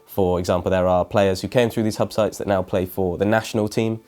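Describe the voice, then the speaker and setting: A man's voice: low voice, fairly even intonation, fairly quick, a little mellow. A man working as an interviewer, asking questions and explaining things in a prepared, informative way. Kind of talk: interview questions and scripted introduction.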